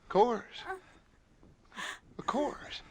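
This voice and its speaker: An actor playing a smug, theatrical middle-aged outlaw gang leader in a dramatic video game, mocking and deliberate. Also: male voice. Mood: mock-friendly.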